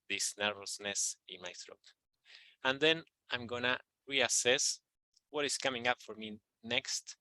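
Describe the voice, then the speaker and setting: A man teaching a tapping technique in a calm, repetitive guided manner, with fillers as he explains. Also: lowish voice, low-quality recording. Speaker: EFT practitioner teaching tapping. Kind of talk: instructional video walkthrough.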